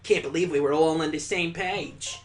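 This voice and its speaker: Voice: mid-range voice, normal speed, faint music behind. A let's-play host reading a visual novel aloud in a dramatic narrating style, breaking off into wry, incredulous, casual asides.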